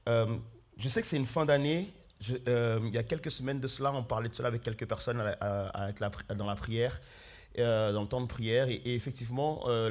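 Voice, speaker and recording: A man preaching a sermon as pastor, hoarse with flu, earnestly calling out questions to his congregation. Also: medium pitch. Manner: earnest, calls out questions to the congregation, many fillers and restarts. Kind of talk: sermon with opening prayer. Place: church hall.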